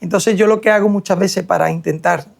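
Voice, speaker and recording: A man's voice: high voice, steady tone, a little fast, gravelly. A young man from Seville, a surgeon talking reflectively in an interview with frequent hesitations. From Seville, Spain. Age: young adult. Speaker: guest, hair transplant surgeon. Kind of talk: interview conversation.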